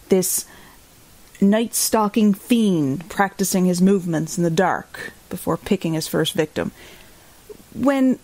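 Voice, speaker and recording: An actress playing a candid defense lawyer, articulate, thoughtful and emphatic, in a documentary-style audio drama interview. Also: female voice, mid-range voice, darkish tone, dry recording, natural voice.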